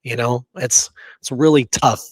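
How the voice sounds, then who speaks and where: A man's voice: mid-range voice, steady tone, measured pace, slightly rough, no echo. A man co-hosting a casual history discussion, talking emphatically and often checking in with "right?". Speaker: podcast co-host.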